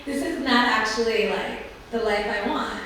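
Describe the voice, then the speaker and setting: A woman's voice: on the high side, normal speed, a bit bright. A woman panelist talks in a loose, conversational way, filling her sentences with "like" and "you know." She sits some way from the microphone in a very reverberant room, with a faint hum behind her. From Somalia. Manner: chatty, frequent "like" and restarts. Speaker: alumni panelist. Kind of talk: casual panel talk. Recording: roomy, distant from the mic.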